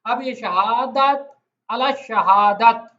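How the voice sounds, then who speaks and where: High-pitched Pakistani man lecturing on law. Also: extremely slow, bright, forceful tone. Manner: conversational, puts questions to listeners. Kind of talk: explanatory lecture with everyday examples. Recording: echoey room, dull lo-fi sound.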